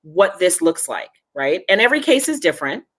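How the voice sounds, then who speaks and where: A woman's voice: on the low side, sing-song, fairly quick, husky. Female guest, earnest and reassuring, explains a genealogy search service in plain talk. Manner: earnest, reassuring, advice-giving. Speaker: genealogist and foundation head, interview guest. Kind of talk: casual interview talk.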